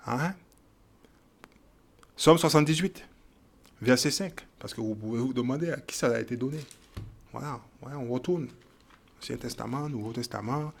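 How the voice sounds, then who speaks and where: Male preacher, high voice, emphatic and repetitive, swings from quiet verse reading to loud exhortation. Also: vocal fry. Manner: emphatic, repeats phrases, checks in with "ok?" and "hein?". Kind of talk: Bible teaching sermon.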